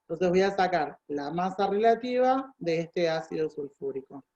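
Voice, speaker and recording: A woman's voice: on the low side, lively intonation, moderate pace, a little mellow. A woman tutoring chemistry, patiently working through calculations step by step and thinking aloud. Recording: dull lo-fi sound.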